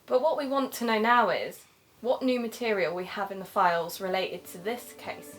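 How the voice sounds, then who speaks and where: A woman playing a keen, sociable amateur investigator and podcaster in an audio drama, talking in a measured, conversational way. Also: medium pitch, fairly bright tone, soft background music, lightly acted, rough recording. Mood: thoughtful.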